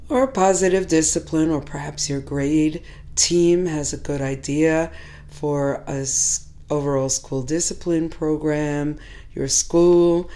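A hoarse woman speaks in a low, mellow voice, measured and reflective as she talks fellow teachers through classroom ideas. She sounds middle-aged and pauses often to gather her thoughts. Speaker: teacher educator. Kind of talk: instructional talk to teachers.

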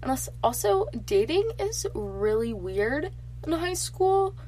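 A woman's voice: sits high, big pitch swings, takes it slowly, dark tone, slight background noise, rough recording. A teenage girl hosting a casual solo podcast, nasal and congested from allergies, chatty, with lots of filler words. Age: teens. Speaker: podcast host.

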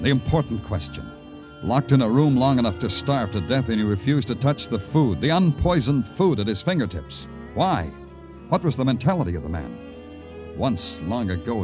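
An actor playing a sardonic, hard-boiled New York police detective with an American accent in a 1950s radio crime drama, wry and tough-talking. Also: male voice, medium pitch, natural voice. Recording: old radio recording with music.

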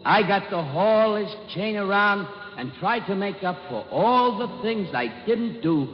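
Out of a long, hollow reverb on a muffled vintage radio recording comes a wisecracking ghost. He is a dead American businessman who talks in tough slang, his fairly high voice roaming freely as he delivers his spooky counsel. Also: animated, a little slow, a little hiss or hum. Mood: gravely warning. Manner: slangy, tough-guy phrasing with theatrical moans. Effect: ghostly echo reverb. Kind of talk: 1950s radio drama acting.

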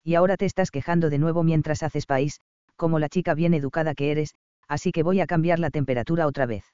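A man's voice: on the high side, steady tone, quick pace, darkish tone, smooth, dry recording, natural voice. A man voicing a bossy, teasing young male doctor with dry, smug humor, read in a dull, dry recording. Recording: slightly dull dry audio.

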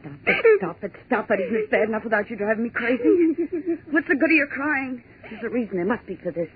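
An actress playing a frightened young woman trying to stay calm and reasoning out loud, in 1940s radio drama style, on a tinny, scratchy old broadcast. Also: a little high, fast talker. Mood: shaken, defiant. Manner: tense, insistent, clinging to reason.

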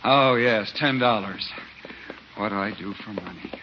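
An actor playing an exasperated, dryly sarcastic riding instructor in a 1950s radio sitcom. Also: male voice, fairly low pitch, unhurried, lightly acted. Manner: dry, sarcastic. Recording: noisy, muffled 1950s radio recording.

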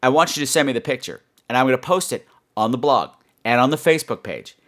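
A man's voice: mid-range voice, expressive, quick pace, fairly bright tone. A man hosting a horror podcast, chatting to his listeners in a campy, playful, self-mocking and emphatic way. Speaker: podcast host.

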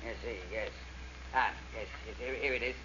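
A man's voice: mid-range voice, steady tone, normal speed, lightly acted. An actor playing a nervous, obliging male shopkeeper in a 1940s radio detective drama, hesitant and stammering. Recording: muffled, noisy old radio recording.

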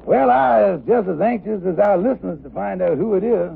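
A man playing a folksy, genial radio-show host in broad comic dialect, with a raspy voice, in 1950s radio comedy. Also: high voice, lively intonation, a little fast, full character voice. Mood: genial, curious. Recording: tinny old radio recording.

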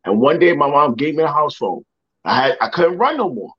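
A man's voice: a little high, very animated, brisk, muffled recording. A young man, a motivational speaker, telling a personal story in a podcast interview. He repeats words and acts out the people he quotes.

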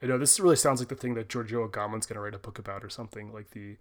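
A man's voice: on the low side, fairly even intonation, rapid-fire. A man co-hosting a podcast, reasoning through theology in a casual, hedging way. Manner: thoughtful, hedging with fillers. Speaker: podcast co-host. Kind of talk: casual scholarly discussion.

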